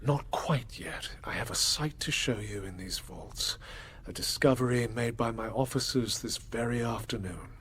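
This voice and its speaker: An actor playing an authoritative, secretive gentleman investigator in a period audio drama, husky, measured and formal. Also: medium pitch, slight background noise, lightly acted. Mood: grave, secretive.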